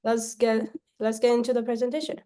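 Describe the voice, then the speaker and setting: A woman from Myanmar, a salesperson pitching her service on a call, often tagging sentences with questions and restarting them. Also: monotone, fast talker, dark tone. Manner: pitch broken up by frequent tag questions and restarts.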